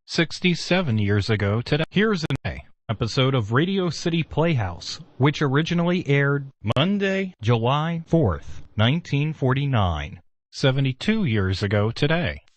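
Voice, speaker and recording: Lively male radio announcer, very dry sound. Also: mid-range voice, no echo. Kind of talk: scripted continuity announcement.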